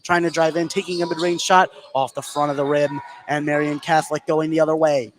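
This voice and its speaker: An American man doing live basketball play-by-play, getting excited and stretching his words on big plays. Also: fairly high pitch, brisk. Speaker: sports play-by-play announcer. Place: indoor high school gym. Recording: clear gym noise behind the voice. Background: gymnasium ambience.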